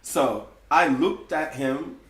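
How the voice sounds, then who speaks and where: A French-born man, an interview guest, chatting in a flamboyant, animated way and laughing often. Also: fairly high pitch, takes it slowly. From France. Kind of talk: casual conversation. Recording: echoey room, distant mic.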